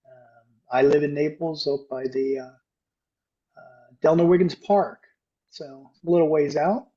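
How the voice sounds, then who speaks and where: Higher-voiced man, slightly dull sound. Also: steady tone, measured pace, mellow. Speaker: volunteer mentor introducing himself. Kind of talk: casual introduction on a group video call. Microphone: video call. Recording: dull video-call audio.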